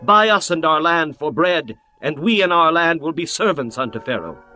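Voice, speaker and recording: A man's voice: on the high side, expressive, moderate pace, bright, forceful tone. A man voicing humble shepherds and starving people, pleading deferentially. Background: faint music.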